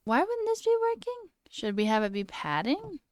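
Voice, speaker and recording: A woman's voice: high voice, very animated, normal speed, darkish tone, no room sound. A young woman with a slight sore throat, casually chatting on a live coding stream, reading chat aloud and muttering at her code. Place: small room indoors.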